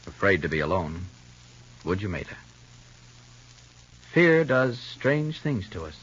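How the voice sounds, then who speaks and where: A man announcing in the style of 1950s radio, both dramatic narrator and product pitchman. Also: big pitch swings, slow pace, fairly bright tone, breathy edge. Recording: muffled, dull old radio recording.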